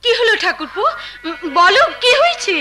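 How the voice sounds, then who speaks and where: A woman's voice: very high-pitched, very animated, moderate pace, bright, forceful tone, faint music behind, heavily acted. A woman playing a devoted, distressed young housewife in Bengali folk-theatre melodrama, tearful and pleading in her delivery. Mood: anxious surprise.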